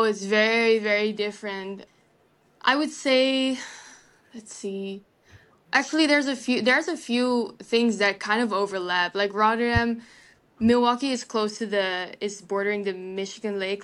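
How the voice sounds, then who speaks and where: A woman's voice: medium pitch, a bit bright, smooth. A young woman from the Netherlands, a native Dutch speaker, chatting easily in an interview and often using "like" and "kind of". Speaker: legal assistant, guest. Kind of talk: casual interview answers.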